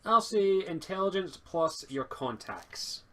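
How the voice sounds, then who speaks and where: A man's voice: high-pitched, sing-song, takes it slowly, fairly bright tone. Stammering man running a tabletop game. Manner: hesitant, stammering delivery. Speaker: game master. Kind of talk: improvised tabletop game narration.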